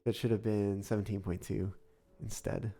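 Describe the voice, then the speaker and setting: A man streams a train-building game, thinking out loud in an unhurried, soothing voice while he recovers from a recent illness. His tone is mellow, with a gravelly edge, and faint music plays beneath him. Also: on the low side, little pitch movement. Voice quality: soft, soothing. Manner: slow, musing, thinks aloud through sums, greets chat viewers. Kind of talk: casual live-stream commentary while building a model railway in a game. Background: soft background music.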